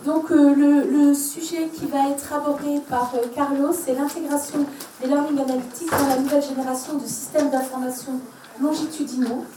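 Modératrice à la voix aiguë et monocorde. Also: female voice, sits high, flat delivery, warm tone, a little hiss or hum. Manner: measured, with some fillers. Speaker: conference moderator. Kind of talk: introducing speakers. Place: conference room. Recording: echoey room, mic from far off.

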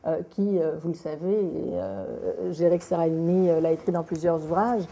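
A middle-aged woman, a documentary filmmaker answering an interview, pausing often on filler hesitations. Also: on the low side, fairly even intonation, creaky, mellow. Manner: halting, many filler hesitations.

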